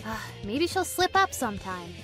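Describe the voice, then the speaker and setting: A woman's voice: a little high, sing-song, moderate pace, fairly bright tone. A woman voicing a jealous young female hedgehog who schemes against a rival in petty asides, with faint music behind. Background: background music.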